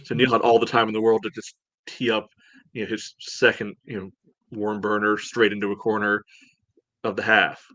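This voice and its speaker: A man chatting casually as a sports podcast host, breaking down play in a halting, rambling way full of verbal padding. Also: lowish voice, little pitch movement, pushed and bright, no room sound, low-quality recording. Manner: halting, rambling delivery peppered with "you know". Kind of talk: casual sports analysis chat.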